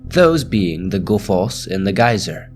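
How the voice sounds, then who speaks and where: A man hosting a scary story channel, reading ghost stories aloud in a slow, measured, hushed storytelling delivery. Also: sits low, sing-song, soft background music. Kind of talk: scripted horror story narration.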